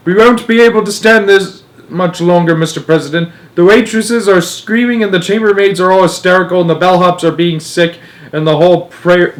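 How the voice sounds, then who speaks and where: A man voicing a panicking male astronaut in a storybook, urgent and shouting. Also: high voice, pitched above the performer's own voice, natural voice, low-quality recording.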